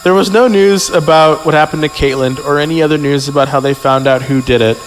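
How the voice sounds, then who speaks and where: A man's voice: fairly high pitch, fairly quick. A man reading a horror story aloud as a podcast host, stumbling over words and dropping in jokey, casual asides. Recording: echoey hall sound with music.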